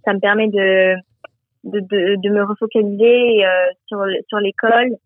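A woman's voice: mid-range voice, level tone, moderate pace, no room sound. A teenage girl from southern France, a guest in a radio interview, talking cheerfully with many hesitations and restarts over the phone. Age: teens. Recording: tinny phone line.

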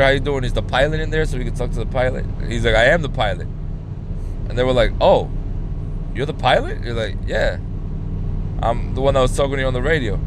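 A man's voice: medium pitch, noisy background. A man with an American accent telling stories casually on a podcast, slangy and repeating phrases for emphasis. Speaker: podcast host. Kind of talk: casual storytelling podcast talk.

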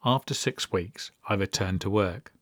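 A man's voice: on the low side, unhurried, fairly bright tone, dry recording. A middle-aged British man telling personal stories as a podcast host, with a dry, wry, understated manner. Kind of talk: personal storytelling monologue.

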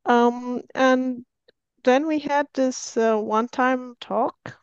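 A woman from Syria tells her story as a podcast guest, pausing often and filling gaps with soft fillers. Her voice sits a little high and sounds smooth and clear. The recording is dry and slightly dull. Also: steady tone, no echo. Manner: hesitant, many fillers, careful non-native phrasing. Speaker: guest, software engineer. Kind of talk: podcast interview.

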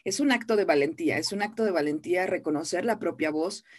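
Mexican woman voice teacher, lowish voice, earnest, keeps repeating for emphasis. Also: fast talker, a little mellow. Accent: Mexican Spanish. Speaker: voice acting teacher. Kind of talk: interview guest.

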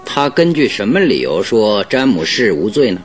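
An actor playing a composed, wryly amused master detective in a radio drama, inquisitive and teasing. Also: male voice, lowish voice, big pitch swings, normal speed, soft background music. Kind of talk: Mandarin radio drama acting.

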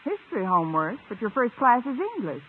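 A woman playing a wry, wisecracking high-school English teacher in a 1950s radio comedy, with dry, sardonic delivery. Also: expressive, fast talker, breathy edge. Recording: very noisy, tinny old radio recording.

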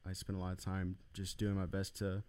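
Deep flat-voiced guy, smooth tone, rambles with lots of ums. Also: fairly quick. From Arlington, Texas. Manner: rambling, hesitant, many fillers. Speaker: church production volunteer. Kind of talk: casual podcast chat.

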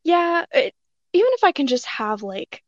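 A woman with a high voice co-hosting a casual live audio chat as a tarot reader, explaining things hesitantly. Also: very animated, normal speed, no echo, lo-fi sound.

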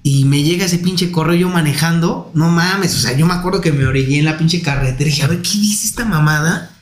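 A man's voice: on the high side, brisk, roomy sound. A young man with a Mexican accent, chatting casually and swearing freely as he retells his own story. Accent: Mexican Spanish. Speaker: podcast co-host, forensic photographer. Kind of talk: casual chat.

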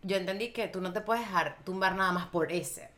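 A woman's voice: lowish voice, a little fast, fairly bright tone. A woman with a Venezuelan Spanish accent, talking frankly and animatedly in casual chat, swearing when riled. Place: lives in the United States.

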